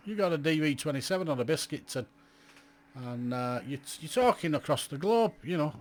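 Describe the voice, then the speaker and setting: A British man in his fifties recalling his years in radio in a chatty, rambling way, full of fillers and self-mocking asides. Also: mid-range voice. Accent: British English. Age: 50s. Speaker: radio hobby vlogger. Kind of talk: reminiscing monologue.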